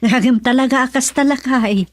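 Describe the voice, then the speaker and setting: A woman playing a practical, supportive mother of a grown daughter in a radio comedy drama, with an expressive, admonishing way of talking. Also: sits high, moderate pace, dry recording, natural voice. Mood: questioning.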